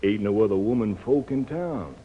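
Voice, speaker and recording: An actor in a 1950s radio drama playing a simple, good-hearted gold miner with a folksy, drawling Old West American accent. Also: male voice, fairly low pitch, expressive, normal speed, a little hiss or hum, close to an everyday voice. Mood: sheepish, hesitant. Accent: rustic American Old West. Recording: muffled 1950s radio recording, faint music.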